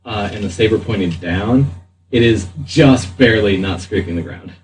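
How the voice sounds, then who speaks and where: A man improvising narration as game master of a tabletop game, halting and deliberate. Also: on the low side. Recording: roomy, distant mic, faint noise.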